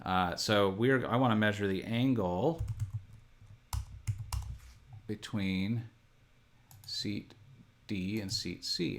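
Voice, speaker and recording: A middle-aged man giving an unscripted tutorial, thinking aloud in a halting, methodical way as he talks through each step. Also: fairly low pitch, gravelly. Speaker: instructor. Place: indoor room at a desk, computer screen recording.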